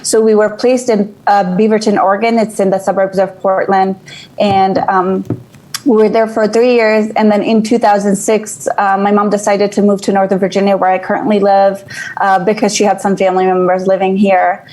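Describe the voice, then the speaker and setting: A woman's voice: medium pitch, level tone, normal speed, dark tone, poor audio. A woman from Afghanistan answering interview questions, hesitant, with many fillers and restarts. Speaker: guest. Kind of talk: interview conversation.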